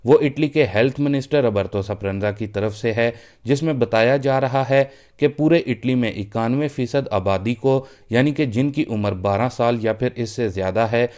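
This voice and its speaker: A man's voice: medium pitch, moderate pace, few pauses, a little mellow. A man presenting a news roundup for his online channel, reading the updates steadily and addressing viewers as friends. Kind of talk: read news update in Urdu.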